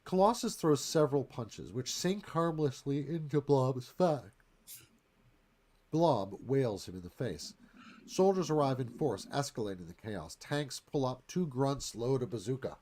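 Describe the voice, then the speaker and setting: A man reads stage directions as dramatic narration. His voice is mid-pitched and moves up and down expressively, in the scripted style of a screenplay reading. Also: lively intonation, unhurried, a little mellow.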